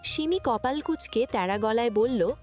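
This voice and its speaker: A woman's voice: a little high, expressive, moderate pace. A woman reading scripted story narration as the narrator. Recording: music behind the voice.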